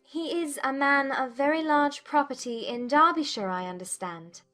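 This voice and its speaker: A woman playing a spirited, outspoken young English gentlewoman in a dramatized audiobook, frank and emphatic in formal wording. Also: high-pitched, normal speed, soft background music. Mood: curious, polite.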